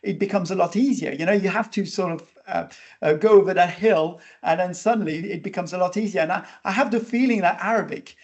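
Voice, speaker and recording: A man's voice: sits high, fairly quick, darkish tone. A man hosting an interview podcast, talking casually with many fillers and restarts. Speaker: podcast host.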